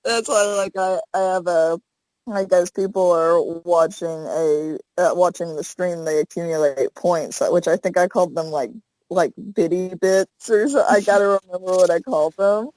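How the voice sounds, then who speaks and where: A woman's voice: on the low side, normal speed, a little mellow, dry recording, low-quality recording. A young woman from the American South, a streamer, chatting casually in an interview and rambling with lots of fillers. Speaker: Twitch streamer, guest. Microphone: headset.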